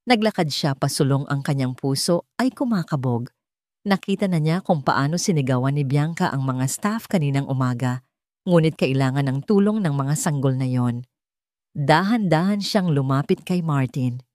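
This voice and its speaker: Female narrator, Filipino accent, lowish register, dry studio sound, scripted drama storytelling. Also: animated, normal speed, a little mellow, no echo.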